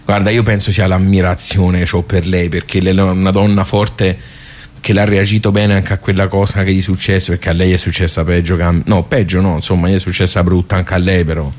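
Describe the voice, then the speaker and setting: An actor with a Tuscan accent playing a plain-spoken village social club regular in a radio monologue, rambling and hesitant, repeating himself with chatty asides. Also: male voice, sits low, flat delivery, brisk, darkish tone. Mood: fond, admiring. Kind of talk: theatrical radio monologue, colloquial storytelling.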